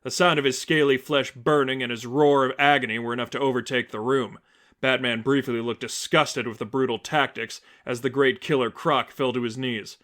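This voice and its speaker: A man reading scripted story narration in a dramatic, deliberate manner. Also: medium pitch, steady tone, a bit bright. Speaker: fan fiction reader, narrator.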